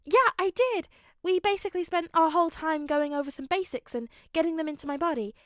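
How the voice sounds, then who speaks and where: A woman's voice: very high voice, brisk, fairly bright tone, no echo, clean studio sound, voice pushed up. A woman voicing an earnest, eager teenage boy with a hesitant, rambling manner in a story reading. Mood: excited.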